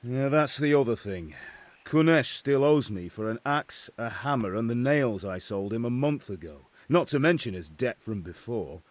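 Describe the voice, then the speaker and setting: A man's voice: mid-range voice, lively intonation, normal speed, no echo, natural voice. An actor playing a middle-aged blacksmith father in a medieval game, blunt and commanding. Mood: firm, businesslike. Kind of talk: dramatic video game dialogue.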